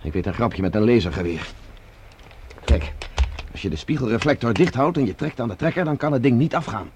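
A steady, mid-pitched man in a science-fiction radio play, as an actor performs him, giving brisk, decisive orders. He stays calm and practical, and there is faint noise under the recording. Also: natural voice. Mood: scheming. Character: Tony, resourceful male space fugitive. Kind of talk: Dutch radio play acting.

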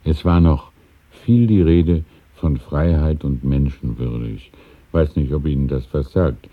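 An elderly man in a 1970s radio drama, courteous, evasive and wistful. His voice is low and husky, and he muses hesitantly. The recording is thin and muffled, like a telephone. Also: a little slow. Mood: hesitant, musing. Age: older. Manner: hesitant, musing, courteous, with small chuckles.

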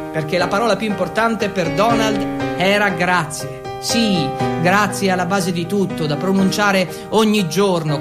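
A man's voice: high voice, pitched below the performer's own voice. A man playing a fervent, solemn preacher who exhorts insistently in repeated refrains. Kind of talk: theatrical sermon monologue.

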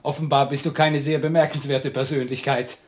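An actress in a radio drama playing a contrary, teasing guest with a dismissive, stubborn manner. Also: female voice, very deep voice, flat delivery, fairly quick. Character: contrary, teasing guest who loves a wager.

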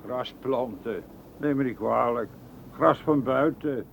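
An actor in a radio play playing a gruff, mocking rag-and-bone man who speaks brusquely and scoffs. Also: mid-range voice, slow pace. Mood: gruff, scoffing. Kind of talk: radio drama acting. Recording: tinny, noisy old radio recording.

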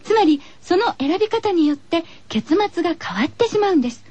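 A woman's voice: very high voice, lively intonation, fairly quick, mellow, dry recording, highs cut off. A woman hosting a radio show, moving between scripted introductions and friendly chat.

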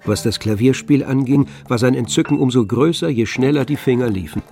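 A man narrating a literary radio play in a measured, composed manner. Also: medium pitch, mellow, soft background music.

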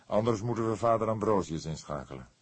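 A man voicing a grumpy, weary older police detective with a gruff, stern manner, in an audiobook. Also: fairly low pitch, lively intonation, quick pace. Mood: grumbling, weary. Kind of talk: audiobook character dialogue.

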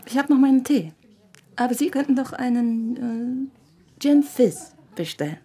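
A woman's voice: high-pitched, animated, a little slow, warm tone, breathy edge, exaggerated voice. An actress playing a sophisticated, whimsical and coquettish young society woman in a naturalistic radio drama, with an affected manner.

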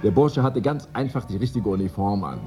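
An actor playing a well-informed gentleman in a radio play, explaining things in a genteel, conversational way. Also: fairly low pitch, animated, a little fast, faint music behind, natural voice. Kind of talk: 1980s German radio play dialogue.

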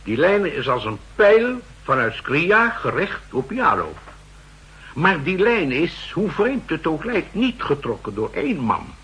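Slow, high-voiced, emphatic official. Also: male voice, big pitch swings, a bit bright. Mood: emphatic, triumphant. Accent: Netherlands Dutch. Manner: deliberate, declamatory. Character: emphatic, self-assured male official presenting his evidence. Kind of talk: 1960s radio drama acting.

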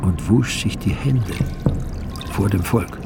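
An actor playing a solemn evangelist, narrating scripture in a measured way in a radio drama. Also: male voice, on the low side, gravelly, loud music behind the voice.

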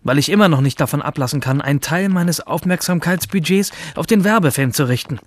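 A man's voice: fairly high pitch, expressive, brisk. An actor playing an obsessive, rebellious male hacker from a virtual future world, narrating a radio drama in the first person. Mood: self-reproachful. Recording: music under the voice.

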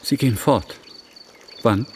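A man plays a reserved gentleman admirer in a period radio play. His mid-pitched voice is calm and courteous, with faint insects chirping outdoors. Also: takes it slowly, a little hiss or hum, lightly acted. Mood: earnest, subdued. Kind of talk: German radio drama acting.

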